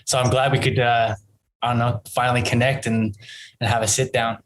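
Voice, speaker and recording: A man in his thirties with an Australian accent, a podcast guest telling his story in a relaxed, rambling way, full of ums and you-knows. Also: medium pitch, brisk. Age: 30s. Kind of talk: casual interview chat.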